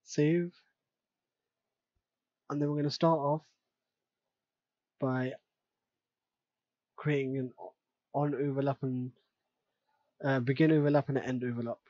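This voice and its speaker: A man's voice: medium pitch, steady tone, slow pace, pauses often, warm tone, no echo. Dull-sounding man casually narrating a tutorial. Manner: casual, thinking aloud step by step. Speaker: tutorial presenter. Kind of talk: unscripted screen-recorded software tutorial narration.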